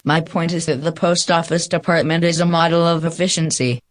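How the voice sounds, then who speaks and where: Actor as high-voiced, emphatic defense lawyer. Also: male voice, steady tone, fairly quick, close to an everyday voice. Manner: formal, emphatic oratory. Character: confident, theatrical defense lawyer. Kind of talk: radio play courtroom acting.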